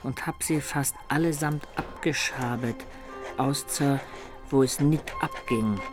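A man playing a roguish medieval Italian of peasant birth in a radio play, who halts and labours over archaic words as he writes his chronicle. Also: medium pitch. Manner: laborious, halting dictation while writing, archaic wording.

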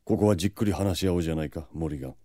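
A man's voice: deep voice, very fast, warm tone, no echo, clean studio sound. A man playing a suave, proud, composed vampire lord in an audio drama, self-assured and dramatic. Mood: composed, patient. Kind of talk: anime-style audio drama acting.

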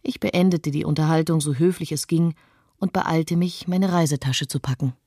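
Female narrator for radio documentary: actress as an observant wife, composed and articulate, notably low and soft-toned, dry studio. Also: normal speed, breathy edge, dry recording. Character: observant, composed wife recalling her flight from disaster. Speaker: narrator of a personal account.

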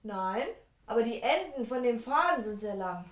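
An actress playing a loyal, caring secretary to a detective, brisk and attentive, in a radio play. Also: female voice, fairly high pitch, lively intonation, natural voice. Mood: sceptical. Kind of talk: radio drama acting.

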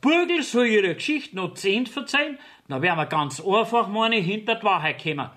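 An actor playing a sly, deferential village court clerk in a radio play, with a southern German dialect and an insinuating manner. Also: male voice, sits high, very animated, moderate pace, a bit bright. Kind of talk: 1970s radio drama acting in dialect.

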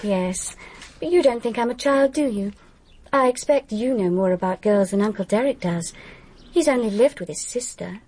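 A woman's voice: on the high side, lively intonation, moderate pace, a little mellow, breathy edge. An actress playing a polite but guarded young English heiress in a radio drama, girlish and full of probing questions. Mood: probing, earnest.

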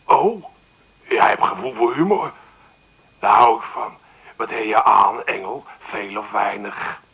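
An actor playing a crude, cheeky and pushy obscene caller in a radio play, with a raspy voice and a teasing, over-familiar manner. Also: male voice, on the low side, unhurried, lo-fi sound, full character voice. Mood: leering, teasing.

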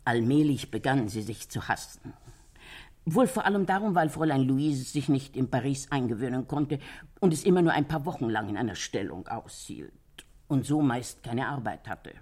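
An older landlady in a radio crime play, her voice low and husky. She gossips with sympathy, swinging widely in pitch as she retells what people said. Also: animated, normal speed, darkish tone, exaggerated voice. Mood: gossipy, reflective. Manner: chatty storytelling, quotes others animatedly. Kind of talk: German radio crime drama acting.

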